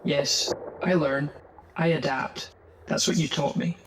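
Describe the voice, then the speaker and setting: A man voicing a young, earnest magical creature in a fantasy audio drama, halting and full of wonder. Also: a little high, fairly even intonation, background noise. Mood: subdued, obedient.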